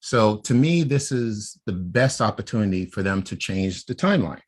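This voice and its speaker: Low husky man explaining theories. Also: animated, moderate pace. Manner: explains theories, often checks in with "right?". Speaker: podcast co-host. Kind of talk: casual fan discussion.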